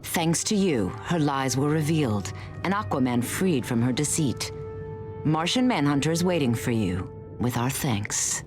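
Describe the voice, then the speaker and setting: An actress playing a noble, stern warrior heroine, giving formal, grave mission briefings in a superhero game. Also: female voice, deep voice, animated, a little slow, music in the background. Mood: grave, thankful.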